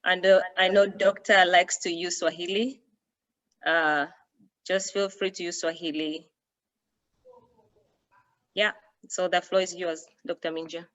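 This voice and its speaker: A woman's voice: fairly low pitch, fairly even intonation, measured pace, long pauses, fairly bright tone. Woman hosting over dull lo-fi call audio. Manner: apologetic, hesitant with fillers. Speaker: session host. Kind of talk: moderating a video call. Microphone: video call.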